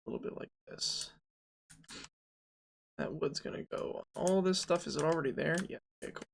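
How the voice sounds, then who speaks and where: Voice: medium pitch, normal speed, vocal fry. A person in their early twenties streaming game development, talking casually and thinking aloud with jokes and frequent fillers. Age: 20s. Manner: joking, rambling, self-talk with fillers.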